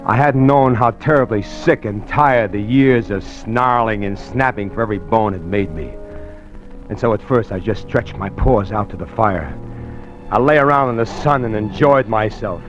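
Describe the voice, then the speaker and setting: A man's voice: lowish voice, normal speed, lightly acted. Slangy young male hustler, played by a man, uneducated tough-guy narrator for vintage radio noir; muffled recording with some music. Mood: brooding. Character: rough, uneducated young male hustler telling his crime story. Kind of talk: 1940s radio acting and first-person narration.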